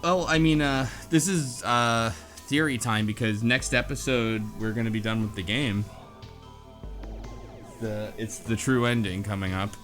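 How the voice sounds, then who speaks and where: A man's voice: medium pitch, a little slow, fairly bright tone. Man, host, casual chat, rambling, thinks aloud, faint background music. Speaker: podcast host. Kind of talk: casual group discussion.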